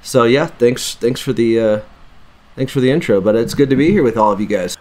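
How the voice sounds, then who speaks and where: A man's voice: medium pitch, fairly quick, a little mellow. A young man with a laid-back manner and dry humor, chatting as co-host on a podcast. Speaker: podcast co-host and bass player. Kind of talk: casual podcast chat.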